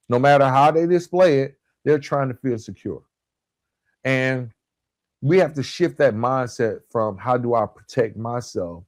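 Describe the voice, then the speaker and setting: Guy doing a motivational coaching podcast, mid pitch, repeats stuff to drive it home, really dry sound. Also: darkish tone, no echo. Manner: emphatic repetition, checks in with "right?" and "guys". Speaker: podcast host.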